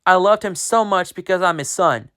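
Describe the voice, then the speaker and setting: A reader voicing an earnest young schoolboy in a homemade spoken story, pitched well above their own voice. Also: big pitch swings, measured pace, a bit bright, clean tone. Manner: earnest read-aloud delivery. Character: excited young boy, proud and loyal.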